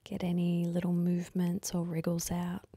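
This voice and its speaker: A woman leading a guided meditation in a soft, husky, breathy voice, speaking calmly and gently with unhurried pauses. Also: fairly low pitch, dry recording, studio quality. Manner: calm, gentle, unhurried instructing with long pauses.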